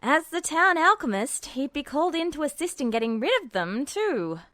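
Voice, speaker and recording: A woman voicing a sharp, sarcastic teenage boy alchemist who explains things flatly in a story reading. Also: high voice, dry recording, clean studio sound. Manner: flat, sardonic, quick to explain.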